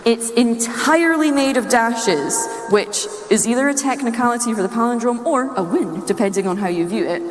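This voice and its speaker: A higher-voiced Scottish woman delivers a playful, nerdy talk in front of an audience. Her voice rings out in a very echoey hall with long reverb. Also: moderate pace, few pauses. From Scotland. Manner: playful, chuckles at own wordplay. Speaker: speaker giving a talk. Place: large indoor room or hall, live talk.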